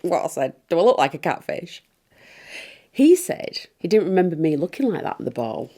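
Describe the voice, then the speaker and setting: A woman's voice: fairly low pitch, sing-song, normal speed, mellow. A woman playing a brash, vain pantomime stepsister, gossipy and blunt in a comic monologue. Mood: smug, indignant.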